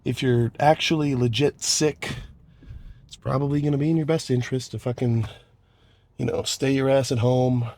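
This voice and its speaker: A man's voice: mid-range voice, steady tone, moderate pace, a little mellow. Stuffy-nosed young man rambling casually. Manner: laid-back, rambling, swears freely, frequent throat clearing. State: stuffy, congested with a cold. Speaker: bodybuilding vlogger. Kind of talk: casual vlog talk to camera.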